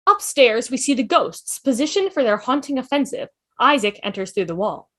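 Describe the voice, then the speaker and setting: A woman's voice: on the high side, big pitch swings, normal speed, fairly bright tone. A woman reading scripted stage directions as narrator in an online table read. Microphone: video call.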